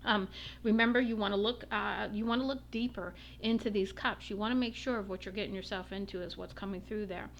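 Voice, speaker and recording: A woman giving a casual tarot reading, her voice slightly raspy from a long day, meandering with many ums and uhs. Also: medium pitch, fairly even intonation, fairly quick, a little hiss or hum. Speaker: tarot reader.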